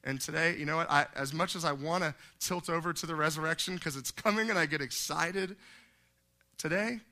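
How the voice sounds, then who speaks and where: A man preaching a sermon to a congregation in a slightly crackly, hoarse voice, conversational yet emphatic, often putting questions to his listeners. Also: fairly high pitch, brisk, bright, forceful tone.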